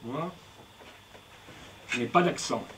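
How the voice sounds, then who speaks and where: A man's voice: medium pitch, slow pace, noisy background. A man performing punning, rhythmic spoken-word poetry on stage, recorded live. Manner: playful punning, declamatory and rhythmic. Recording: distant live recording with music.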